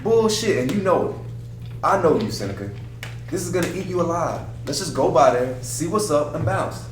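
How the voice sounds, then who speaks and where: Actor as cocky young best friend, higher-pitched, animated, slangy and foul-mouthed, echoey recording. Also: male voice, normal speed, natural voice. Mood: pushy. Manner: slangy, pushy, joking delivery. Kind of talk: screenplay table read. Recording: echoey room, distant mic, clear noise.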